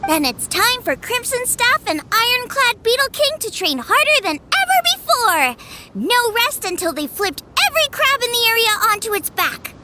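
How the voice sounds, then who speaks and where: A woman playing a bubbly, energetic, friendly young cook in a video game, perky and eager. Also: very high voice, moderate pace, noisy background. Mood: enthusiastic. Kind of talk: animated video game voice acting.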